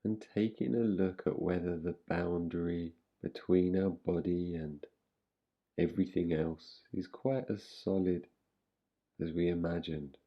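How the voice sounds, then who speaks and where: A man with a low, husky voice leads a guided relaxation. He speaks gently and soothingly, leaving long pauses between his instructions. There is a creaky fry in his delivery, and he never hurries. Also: steady tone, lo-fi sound. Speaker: meditation guide. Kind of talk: guided relaxation meditation, yoga nidra.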